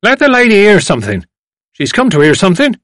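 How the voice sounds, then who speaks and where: A man voicing an impatient elderly gentleman from a novel, bossy and repeating himself insistently. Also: high voice, wildly swooping pitch, quick pace, dry recording, clean studio sound, pitched above the performer's own voice, full character voice. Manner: insistent, repetitive.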